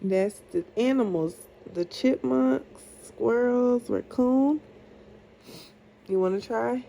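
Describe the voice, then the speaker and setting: A mother reading a storybook aloud to her child in a patient, deliberate, encouraging way. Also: a little high, gravelly, warm tone, a little hiss or hum.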